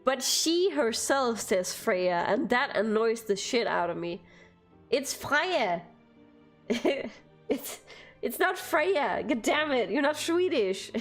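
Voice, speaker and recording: A woman's voice: a little high, very animated, slow, soft background music. A young woman from Denmark with a light Danish accent, chatting casually with viewers on a live stream and laughing often between phrases.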